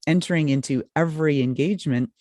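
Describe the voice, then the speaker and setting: A woman's voice: low voice, fast, dark tone, dry recording, studio quality. A woman hosting a podcast who asks long, enthusiastic questions. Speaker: podcast host. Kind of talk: interview questions.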